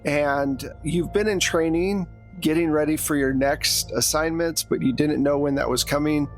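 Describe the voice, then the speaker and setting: A man's voice: a little high, fairly even intonation, moderate pace, faint music behind. A man narrating a tabletop roleplaying game as game master, telling the story in a conversational way.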